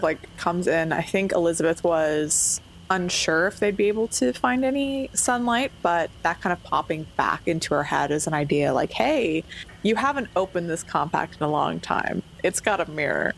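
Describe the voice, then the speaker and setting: A woman playing a tabletop game, casually describing her character's actions and thinking aloud haltingly. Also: fairly low pitch, expressive, creaky, a little hiss or hum, low-quality recording.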